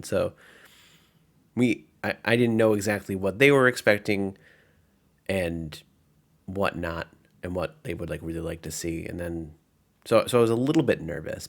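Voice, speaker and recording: A man working as game master, narrating and commenting on tabletop roleplay in an informal, self-reflective way. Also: lowish voice.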